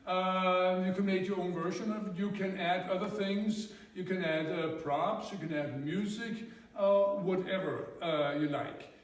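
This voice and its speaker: High-pitched man explaining, very echoey room. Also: steady tone, unhurried, hardly any pauses. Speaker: presenter explaining a storytelling exercise. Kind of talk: informal explanation. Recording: very echoey room, distant microphone.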